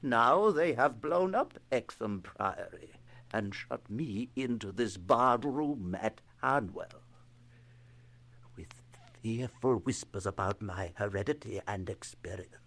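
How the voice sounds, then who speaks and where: Slow, creaky-voiced, deranged haunted man. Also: fairly low pitch, lively intonation, exaggerated voice. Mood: hushed, haunted. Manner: theatrical, halting, swinging from hushed dread to frenzy. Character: deranged, haunted man confined to an asylum, pleading innocence. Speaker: narrator and lead actor. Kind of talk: old-time radio horror acting. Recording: noisy vintage radio recording.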